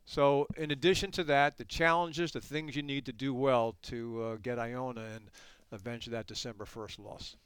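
A man's voice: medium pitch, fairly even intonation, normal speed, a bit bright, clean tone, no room sound. A man hosting a sports podcast, asking long conversational questions. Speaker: podcast host. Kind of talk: podcast interview.

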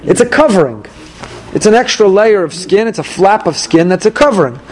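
Animated high-voiced rabbi teaching. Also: male voice, a little fast, poor audio. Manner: animated, questions the audience, repeats key phrases. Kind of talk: Torah class lecture.

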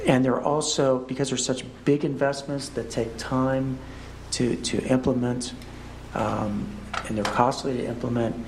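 A man giving an overview at a public board meeting, speaking in a measured, explanatory way with the odd filler. His voice sits at an ordinary middle pitch. A faint hum of room noise runs under him. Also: little pitch movement, a little mellow, poor audio. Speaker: school superintendent.

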